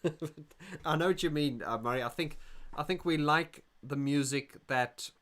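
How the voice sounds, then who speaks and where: A man's voice: on the high side, moderate pace, a bit bright. A middle-aged man from South Africa hosting a casual livestream, reading viewer comments aloud and rambling with frequent restarts. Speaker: livestream host.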